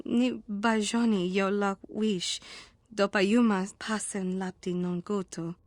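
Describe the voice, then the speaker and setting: A woman's voice: medium pitch, fairly even intonation, takes it slowly, no echo, lightly acted. A woman playing a defiant, hardened alien warrior in a video game, speaking tersely in an invented tongue. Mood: guarded, subdued. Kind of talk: scripted video game character dialogue.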